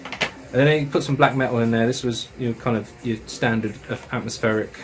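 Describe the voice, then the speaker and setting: A man's voice: mid-range voice, steady tone, fairly quick, low-quality recording. Chatty man raving about metal. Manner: enthusiastic, chatty, with British slang and swearing. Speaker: metal music reviewer. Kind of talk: casual review of records and tapes.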